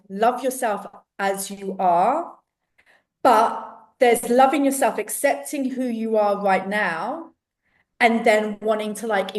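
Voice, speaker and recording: A woman with a strong London accent, talking as a podcast guest over a remote call. She sounds a little distant from the mic, at a middling pitch. She talks with enthusiasm, laughs easily and restarts her sentences. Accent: London English. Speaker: guest, cookery teacher and self-love coach. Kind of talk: casual podcast interview.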